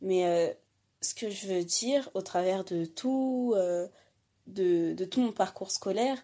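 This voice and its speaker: A teenage girl, a high school student, telling her own story haltingly with many fillers and restarts. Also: warm tone. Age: teens. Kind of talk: personal testimony.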